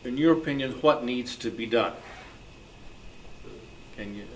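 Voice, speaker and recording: A man moderating a club panel discussion, speaking in a halting, deliberate way without a script. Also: medium pitch. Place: club meeting room. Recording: noisy live meeting room recording.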